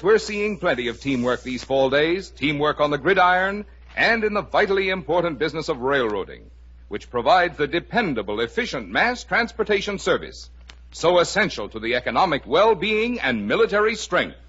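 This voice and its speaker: Lively, husky male 1950s radio announcer. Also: a little high, normal speed. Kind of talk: scripted 1950s radio sponsor narration. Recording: muffled 1950 radio broadcast.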